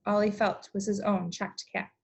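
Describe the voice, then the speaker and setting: A woman teacher reading aloud and explaining things to young children, with expressive, deliberate emphasis. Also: mid-range voice, creaky, a little hiss or hum. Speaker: elementary school teacher.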